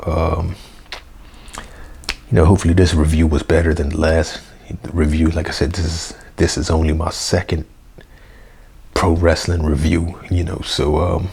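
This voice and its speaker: A young man, hoarse, rambling through a casual unedited review vlog with lots of fillers and slang. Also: low-pitched, darkish tone. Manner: rambling, full of fillers like "you know" and "man". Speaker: wrestling review vlogger. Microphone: basic mic, plans a new one.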